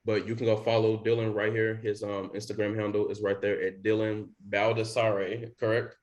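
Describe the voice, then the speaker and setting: A man's voice: lowish voice, flat delivery, normal speed, lo-fi sound. A young man hosting a podcast in casual chat, rambling with lots of fillers. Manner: rambling with many likes and you knows. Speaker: podcast host.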